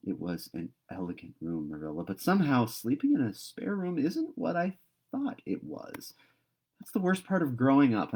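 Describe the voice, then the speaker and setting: A man's voice: mid-range voice, darkish tone, breathy edge. A man voicing a talkative, dreamy young girl in a storybook read-aloud, earnest and gushing. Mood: wistful, excited.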